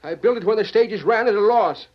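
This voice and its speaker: A fiery old American frontier businessman in a radio Western. His voice sits very high for a man, and he blusters stubbornly in folksy frontier speech. The sound is dull, lo-fi vintage radio. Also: fairly quick. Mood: furious, defiant. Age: older. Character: fiery, stubborn old frontier stage line owner, a father.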